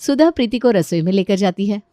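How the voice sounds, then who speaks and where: A woman with a mid-pitched voice narrates a scripted domestic story. The recording is dry and close, with no room sound. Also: expressive, fairly quick, mellow, dry recording.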